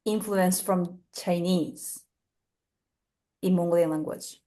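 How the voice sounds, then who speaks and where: A woman hosting a casual question-and-answer talk, asking questions in a halting way as she searches for words. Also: fairly low pitch, fairly even intonation, mellow. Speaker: event host. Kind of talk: casual interviewing in English.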